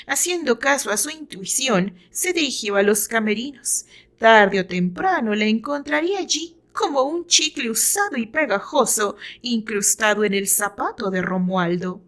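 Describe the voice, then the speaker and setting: A woman's voice: fairly high pitch, animated, normal speed, a bit bright. A woman with a Mexican accent reading her own fiction aloud as dramatic scripted narration.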